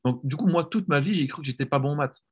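A man talking as a guest on a podcast, animated and informal, often restarting his phrases. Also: on the high side, fast, slightly rough. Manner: animated, frequent restarts and "tu vois". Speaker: language coach, podcast guest. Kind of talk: casual podcast conversation.

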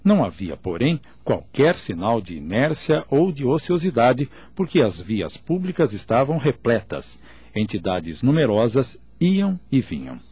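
A man's voice: mid-range voice, big pitch swings, unhurried, darkish tone, breathy edge, no echo. A man narrating a scripted radio drama in formal, literary diction.

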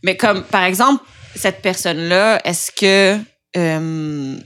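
A woman's voice: fairly low pitch, slow, fairly bright tone. Québécoise woman asking rambling questions. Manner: hesitant, rambling questions with restarts. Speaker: podcast co-host. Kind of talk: casual interview chat.

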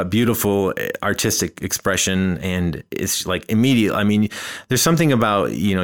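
A man with a deep, smooth voice hosts a casual podcast conversation. He muses at length, restarting phrases as he works toward his point. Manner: thoughtful, rambling, often restarts phrases. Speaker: podcast host.

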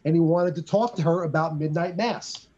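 High-voiced joking man, muffled sound. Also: normal speed, a crowd in the background. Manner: joking, enthusiastic. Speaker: podcast co-host reviewing a film. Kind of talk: casual chat. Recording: muffled lo-fi audio.

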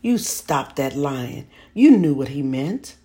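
A woman's voice: fairly low pitch, moderate pace, mellow, breathy edge, pitched above the performer's own voice. A woman voicing a stern, harsh mother who scolds and taunts her son in a read-aloud memoir. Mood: angry.

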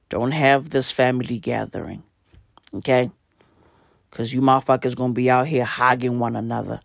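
A young man with a hoarse, raspy voice talks to the camera in a loose, rambling way. He swears freely and leans on fillers as he goes. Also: medium pitch, no echo, clean studio sound. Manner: profane, rambling, laid-back, many fillers. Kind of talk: casual rambling monologue.

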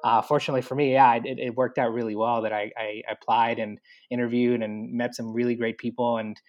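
A man's voice: medium pitch, level tone, fairly quick, highs cut off. A man recounts his career moves in a loose, chatty way, leaning on likes and you-knows as he goes. His voice sits in an ordinary middle range. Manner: chatty, many likes and fillers, acts out his own thoughts. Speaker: guest, university staff. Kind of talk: conversational interview, telling his career path.

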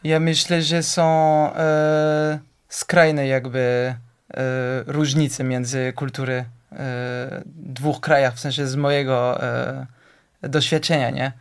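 A man's voice: medium pitch, little pitch movement, takes it slowly, clean tone. A young man from France with a French accent, speaking Polish as a second language as an interview guest, hesitant and searching for words with many fillers. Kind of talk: casual conversation.

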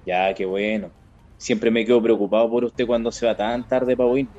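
A man's voice: mid-range voice, flat delivery, moderate pace, dark tone, slight background noise, lightly acted. A man acting a haunted, kindly Chilean truck driver in a naturalistic radio drama, plain-spoken, on a muffled recording. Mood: concerned, kindly. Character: haunted, kindly working-class male truck driver, protective.

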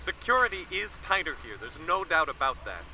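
An actor playing a seasoned male war correspondent reporting live, plain-spoken with halting restarts. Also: on the high side, very animated, full character voice, poor audio. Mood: calm. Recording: loud vehicle and wind noise.

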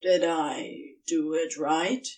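A woman voicing an eager, affectionate serpent creature with a rough-edged colloquial drawl in a storybook reading. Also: low voice, fairly even intonation, extremely slow, darkish tone, far from the mic, voice pushed down, exaggerated voice.

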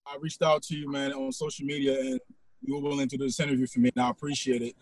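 A man's voice: mid-range voice, crowd noise, low-quality recording. A man in his forties from Haiti, hosting a podcast and chatting casually with a guest, with many fillers. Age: 40s. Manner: fast, relaxed, conversational with fillers. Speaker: podcast host. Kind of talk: casual interview chat.

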